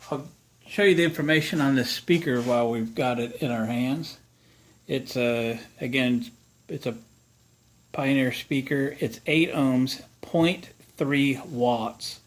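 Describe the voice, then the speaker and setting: A man's voice: mid-range voice, low-quality recording. An American man hosting a repair how-to video, talking in a casual, folksy way and thinking aloud as he demonstrates. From United States. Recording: clear background noise.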